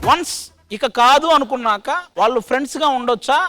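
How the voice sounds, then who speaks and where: A man's voice: very high voice, fast talker. A man doing a teasing, insistent mock interview on a TV show. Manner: insistent, repeats his questions. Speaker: comedian quizzing a contestant on a show. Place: TV studio stage. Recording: music behind the voice. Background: music.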